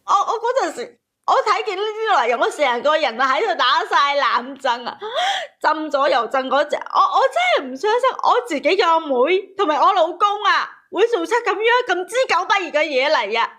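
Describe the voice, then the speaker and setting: A woman's voice: very high-pitched, lively intonation, moderate pace, pushed and bright. A woman with a Cantonese accent telling her personal story as a caller, agitated and emphatic, often repeating her phrases. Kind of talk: emotional personal storytelling on a call-in show.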